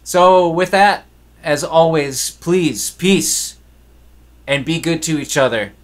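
A man's voice: a little high, takes it slowly. A young man giving a casual, conversational tutorial in a laid-back way, recorded in a small home studio. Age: young adult. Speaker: musician hosting a recording tutorial. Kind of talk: casual instructional talk to camera.

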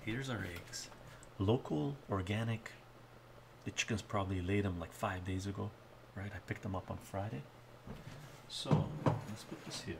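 Middle-aged guy cooking on stream, low gravelly voice, chatty with viewers, loud noise behind. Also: moderate pace, long pauses. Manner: chatty, talks to viewers while working, frequent "right?". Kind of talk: casual live-stream cooking chat. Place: home kitchen, indoors. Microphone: fixed camera mic, moves toward and away from it.

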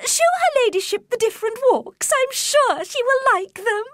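A woman's voice: very high voice, animated, moderate pace, fairly bright tone, a little hiss or hum, voice pushed up. A woman reading aloud voices a flustered, eager middle-aged mother who gushes in a fluttery way. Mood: gushing.

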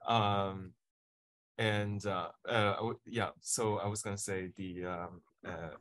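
A man in his thirties moderates a panel discussion over a video call, his voice very low and his delivery slow and hesitant, full of fillers. The sound is dry and close, picked up at his desk. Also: fairly even intonation, fairly bright tone, dry recording. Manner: hesitant, many fillers and restarts. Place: home office.

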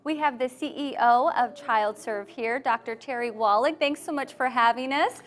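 A woman's voice: a little high, moderate pace. A woman in her thirties with an American accent, presenting and interviewing as host of a lifestyle TV show. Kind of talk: scripted presenting and friendly interviewing.

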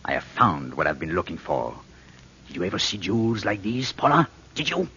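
An actor playing a menacing, controlling husband who taunts and interrogates in a deliberate 1940s radio drama style. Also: male voice, deep voice, sing-song, bright, forceful tone, slight background noise, full character voice. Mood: gloating. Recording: muffled old radio recording.

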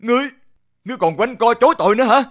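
High-pitched stern heavenly emperor. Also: male voice, expressive, rapid-fire. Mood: stern, angry. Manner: authoritative, scolding. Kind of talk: animated fairy-tale voice acting.

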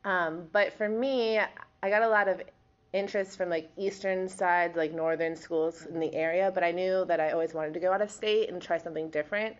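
A woman's voice: lowish voice, steady tone, normal speed, dull audio. A young woman in her twenties from Wisconsin, a college volleyball player answering interview questions in a chatty, giggly way with lots of fillers. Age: 20s.

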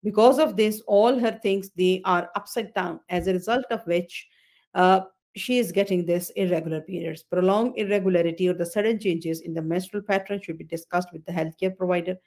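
A woman's voice: lowish voice, steady tone, fairly quick, a little mellow. Woman doctor lecturing on a video call. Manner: explanatory, repeats phrases, frequent fillers and self-corrections. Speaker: OB-GYN consultant hosting a webinar. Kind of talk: webinar lecture over slides. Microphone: computer mic on a video call.

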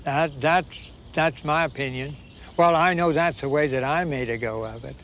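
Higher-pitched folksy old Kansas farmer. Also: male voice, normal speed, a bit bright, a little hiss or hum. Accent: rural Kansas. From Kansas, United States. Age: 70s. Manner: folksy, plain-spoken. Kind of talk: casual interview reminiscence.

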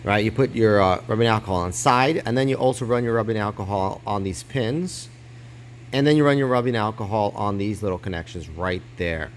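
A man's voice: on the low side, a little fast, slight background noise. A man giving a casual hands-on tutorial, explaining step by step while filming close up on his phone at a desk. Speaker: crypto mining hardware explainer. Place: indoors at a desk. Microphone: phone held close, filming his hands.

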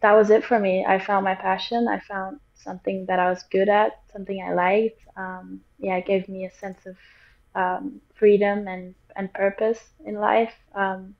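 Soft-spoken young woman on a dull call. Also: mid-range voice, level tone, moderate pace, gravelly. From Portugal. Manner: soft-spoken, hesitant with many fillers. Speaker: guest, pro surfer. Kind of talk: casual interview over video call. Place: small room indoors. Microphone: laptop or webcam mic on a video call. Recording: dull, lo-fi video call audio.

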